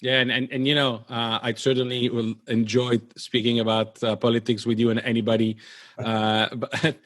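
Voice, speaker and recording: Male host, Belgian accent. Mid-pitched, conversational, halting delivery with repeated words, very little room sound. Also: level tone, fairly bright tone, dry recording. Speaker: show host. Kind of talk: conversational interview.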